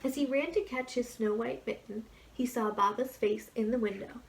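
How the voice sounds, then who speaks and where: A woman calmly reading the end of a folk tale to a congregation. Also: a little high, normal speed, gravelly, a little hiss or hum. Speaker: storyteller.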